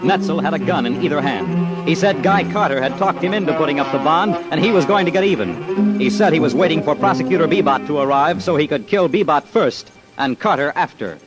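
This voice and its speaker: Male crime-radio narrator, slightly high voice, punchy and dramatic, loud musical underscore. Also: expressive, a little fast, dull audio. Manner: terse, emphatic, dramatic delivery. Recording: old radio broadcast with loud music behind.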